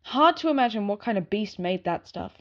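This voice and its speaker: A woman's voice: medium pitch, lively intonation, brisk, natural voice. Woman playing an eager werewolf girl, slightly muffled recording. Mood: puzzled storytelling. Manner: eager, rambling, informal. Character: excitable dog-like young female werewolf giving her statement. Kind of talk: audio drama acting, first-person storytelling.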